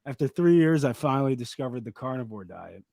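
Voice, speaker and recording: A man with a slightly raspy voice, a podcast guest rambling through a personal story in casual chat with lots of fillers. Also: mid-range voice, lively intonation, brisk, mellow, no room sound, clean studio sound. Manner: rambling, laid-back storytelling with many fillers.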